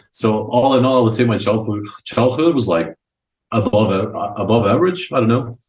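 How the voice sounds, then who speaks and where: A man's voice: medium pitch, normal speed, darkish tone. A young man from Croatia telling stories informally and enthusiastically as a guest in a casual interview. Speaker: podcast guest, chess coach. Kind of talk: casual interview chat, storytelling.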